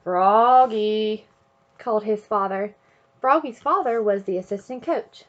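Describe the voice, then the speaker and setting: A woman reading a children's picture book aloud in an expressive way, chanting refrains and sound words. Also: medium pitch, moderate pace, dull audio. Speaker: storybook reader.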